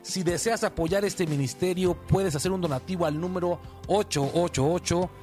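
A man's voice: sits high, normal speed, darkish tone, soft background music. A man reading a scripted church program promo in an upbeat, inviting manner. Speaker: radio announcer.

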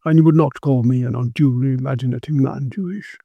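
A man voicing a calm, serious occult investigator in a gothic horror story, grave and quiet. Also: medium pitch, a little fast, gravelly, husky, no room sound, dull audio.

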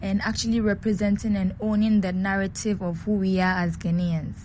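A woman's voice: medium pitch, level tone, fairly quick, darkish tone, slight background noise. A woman guest on a radio show who heads media and public relations, speaking in a composed, articulate way and with conviction.

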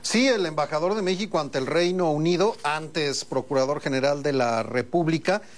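Man, reporter, slightly high, ironic asides. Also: normal speed. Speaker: news reporter. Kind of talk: news report.

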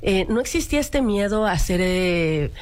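A woman, hosting a talk show and reflecting with hesitant pauses and fillers. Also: mid-range voice, expressive, a little mellow. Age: 40s. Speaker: host.

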